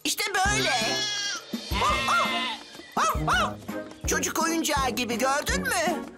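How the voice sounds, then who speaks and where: Excitable young boy, cartoon dub, very high pitch, cocky, loud backing music. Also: a little slow, full character voice. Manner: exclamatory, boastful. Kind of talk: Turkish children's cartoon dub.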